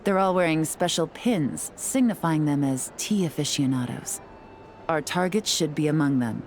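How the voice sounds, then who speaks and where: Brief: cool, collected spy, low and unhurried, game dialogue. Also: female voice, slight background noise. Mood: composed. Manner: calm, measured, self-assured. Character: cool, composed female spy and tea house owner. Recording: game audio with faint music.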